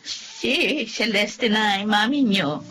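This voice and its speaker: Slow-speaking elderly Filipino sister. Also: female voice, medium pitch, a bit bright, faint music behind. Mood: hopeful, moved. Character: hopeful, sentimental old sister. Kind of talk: Filipino radio drama acting.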